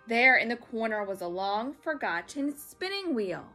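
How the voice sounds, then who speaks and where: A woman reads a story for children in a high, bright voice. Her delivery is dramatic and expressive, with soft music underneath. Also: moderate pace.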